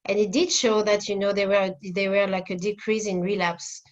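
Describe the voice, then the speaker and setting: A woman's voice: mid-range voice, level tone, normal speed, muffled recording. A woman in her forties from France with a French accent, a guest therapist answering interview questions with many fillers and restarts over a video call.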